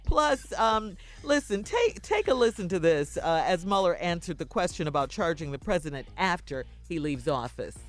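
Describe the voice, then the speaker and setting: A woman's voice: fairly low pitch, very animated, moderate pace. An American woman co-hosting a radio show, moving between reading news and chatty banter.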